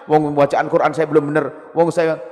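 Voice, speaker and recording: A man's voice: a little high, little pitch movement, moderate pace, a little mellow, close to an everyday voice. Man doing an exasperated older worshipper. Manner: exasperated, complaining. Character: frustrated older lay worshipper giving up. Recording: long hall reverb.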